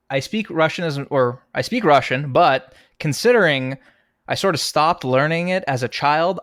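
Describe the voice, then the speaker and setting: A man's voice: mid-range voice, a bit bright, no echo. A young man from the United States talks casually as a podcast guest, laughing often and restarting his sentences. His voice sits in the middle range. The recording is dry and close. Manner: chatty, laughs often, many fillers and restarts. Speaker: guest, game composer. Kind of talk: casual interview chat.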